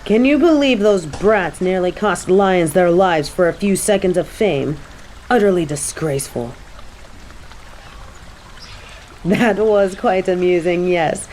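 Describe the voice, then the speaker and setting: A woman's voice: on the low side, dark tone, heavy reverb, a little hiss or hum, low-quality recording. A woman voicing a haughty fallen angel in an audio drama, imperious and pompous with archaic formal diction, yet fond of her demon companion. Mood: scornful, amused.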